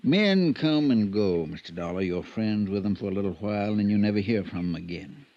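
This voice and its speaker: An American actor playing a deferential, reluctant ranch stable hand in a 1950s radio drama, folksy, guarded and hesitant. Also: male voice, on the low side, animated, fairly quick, darkish tone, no room sound. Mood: evasive, resigned. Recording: dull vintage radio recording.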